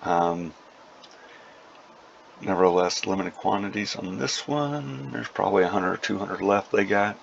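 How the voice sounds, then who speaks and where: A man hosting a casual prepper video update, talking informally in short, halting phrases. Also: lowish voice, vocal fry. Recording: dull lo-fi sound with faint noise.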